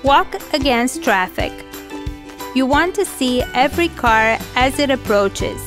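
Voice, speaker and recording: Female narrator, higher register, scripted instructional read with music underneath. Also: expressive, unhurried.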